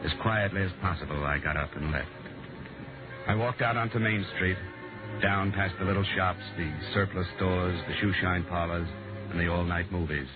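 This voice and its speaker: A man's voice: low-pitched, fairly even intonation, moderate pace, close to an everyday voice. An actor playing a world-weary male insurance investigator, narrating his case in clipped, matter-of-fact 1950s radio drama style. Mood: dry, world-weary. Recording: muffled vintage radio recording, music behind.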